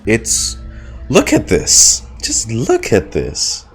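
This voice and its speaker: A man doing casual reaction commentary over a video game, expressive and exclamatory. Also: mid-range voice, drawn-out words, soft background music.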